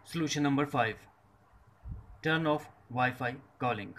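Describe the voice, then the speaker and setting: Slow-paced man narrating a tutorial. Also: mid-range voice, a bit bright. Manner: instructional, short separated phrases. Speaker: tutorial presenter. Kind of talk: scripted step-by-step tech tutorial narration.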